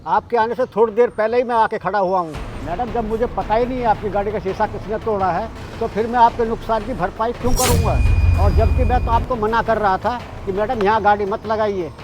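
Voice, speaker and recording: An actor playing a polite security guard who pleads with a rude driver in a short drama skit. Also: male voice, high voice, close to an everyday voice. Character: patient, polite middle-aged male security guard.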